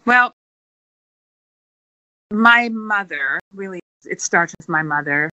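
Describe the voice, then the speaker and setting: A woman in her forties from the Netherlands, a podcast guest speaking earnestly and filling pauses with many ums. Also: mid-range voice, animated, bright, forceful tone, no room sound, lo-fi sound. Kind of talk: podcast interview.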